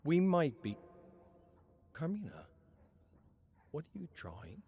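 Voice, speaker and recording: A man voicing a gentle, worried mother soothing her child in a dramatic story reading. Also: on the high side, huge pitch swings, slow, slight background noise, pitched above the performer's own voice, exaggerated voice. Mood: gentle, concerned.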